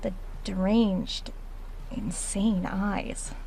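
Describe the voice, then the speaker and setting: Casting: actress for uneasy female researcher in horror audio drama, diary narration, medium pitch with vocal fry. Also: fairly even intonation, very slow, warm tone, a little hiss or hum, low-quality recording. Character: uneasy woman researcher recording an audio diary in a haunted house.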